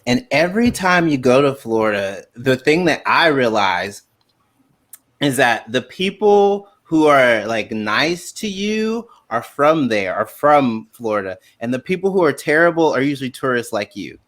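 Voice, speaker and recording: A man's voice: medium pitch, big pitch swings, measured pace, a bit bright. A man co-hosting a comedic chat over a video call, joking and playful.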